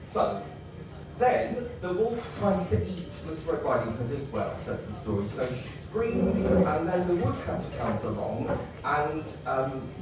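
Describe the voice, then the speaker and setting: A man doing an oblivious man-child telling a children's story, earnest and rambling with many ums, his voice a touch high and bouncing all over. Recorded from a distance in an echoey live venue with background noise. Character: childlike 26-year-old man-child, mummy's boy, oblivious. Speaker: comedy character hosting kids' story time. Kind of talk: live stand-up character comedy. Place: live comedy stage.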